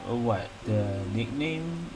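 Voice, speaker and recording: A woman's voice: rumbling low, lively intonation, takes it slowly. A woman giving casual, hesitant, musing commentary while playing a video game. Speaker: gaming streamer. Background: game music.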